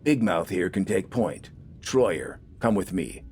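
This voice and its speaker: A gruff military corporal, acted by a male narrator, speaks in a low register over clear background rumble. He is bossy and curt, handing out orders with scornful sarcasm in a war audio drama. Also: very animated, slow, a little mellow, exaggerated voice. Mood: stern, commanding.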